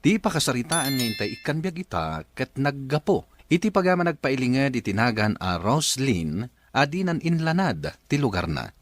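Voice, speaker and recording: A man's voice: mid-range voice, sing-song, normal speed. A man with a Filipino accent hosting a radio drama, narrating and reading letters from a script.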